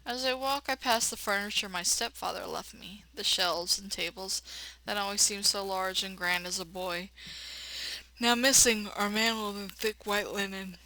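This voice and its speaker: A woman's voice: mid-range voice, normal speed, dry recording, low-quality recording. A woman reading a visual novel aloud, expressively, and breaking in with blunt, sassy commentary. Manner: expressive narration broken by blunt sassy asides. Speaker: let's player.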